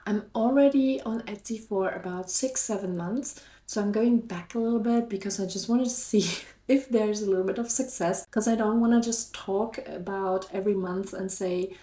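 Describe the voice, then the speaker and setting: A woman's voice: medium pitch, normal speed, mellow. A woman with a German accent talking casually to her viewers as a vlog host, in an upbeat, encouraging and chatty way. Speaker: YouTube host and online shop seller. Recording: echoey room.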